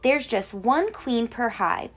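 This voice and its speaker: Animated woman reading slowly to children. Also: fairly high pitch, soft background music. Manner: clear explaining to young children, animated emphasis. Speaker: kindergarten teacher reading a picture book to children. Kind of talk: expressive children's read-aloud storytelling.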